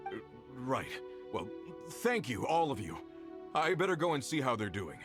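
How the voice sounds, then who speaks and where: Earnest foreman, game role, male actor: medium pitch, courteous, concerned, a little hesitant. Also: very animated, moderate pace, natural voice. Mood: grateful, obliging. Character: earnest, grateful foreman of a mining crew. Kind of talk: video game voice acting. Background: music behind the voice.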